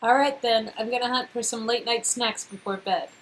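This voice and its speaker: Husky, shyly affectionate young woman, read by a woman. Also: on the high side, fairly even intonation, fairly quick, natural voice, low-quality recording. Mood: shyly inviting.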